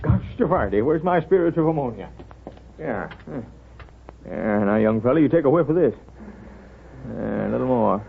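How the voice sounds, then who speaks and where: An actor playing a wry, kindly small-town doctor with a folksy, unhurried manner in a 1930s radio drama. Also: male voice, mid-range voice, expressive, creaky, a little hiss or hum. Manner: folksy, wry, unhurried, hesitant drawn-out asides. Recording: thin, tinny 1930s radio recording.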